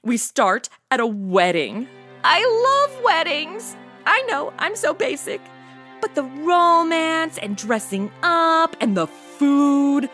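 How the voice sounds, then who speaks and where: A woman plays a bubbly, blunt young modern woman in an audio comedy. Her fairly high voice gushes slang with very lively pitch. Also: heavily acted. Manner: gushing, slangy.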